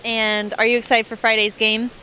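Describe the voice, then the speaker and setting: A woman's voice: fairly high pitch, level tone, normal speed, sharp tone, a little hiss or hum, low-quality recording. A teenage girl asking classmates short questions in a school hallway, holding a handheld mic. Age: teens. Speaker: student interviewer. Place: school hallways and gym indoors.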